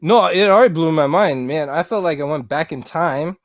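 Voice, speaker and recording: A man hosting a podcast in casual chat, excitable and quick to exclaim. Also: on the high side, dry recording. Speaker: podcast host.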